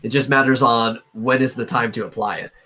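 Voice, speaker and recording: A man's voice: medium pitch, steady tone, a little fast, bright, forceful tone. A man chatting casually as a co-host on a live stream, explaining his points in an analytical way. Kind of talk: casual chat.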